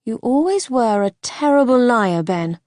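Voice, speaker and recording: A woman's voice: on the high side, very animated, unhurried, a little mellow, no room sound, studio quality, voice pushed up. A woman voicing a passionate, fiery young wife who speaks in a raised, urgent voice. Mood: teasing.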